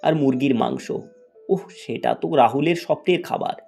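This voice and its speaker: A man's voice: mid-range voice, normal speed, gravelly, a little mellow, breathy edge, soft background music. A man reading a scripted fairy tale as its storyteller. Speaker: storyteller, narrator.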